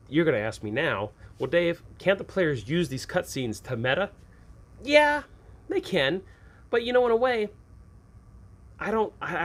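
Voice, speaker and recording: A man hosting an advice show for game masters, talking casually and unscripted, often posing questions and answering them himself. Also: on the high side.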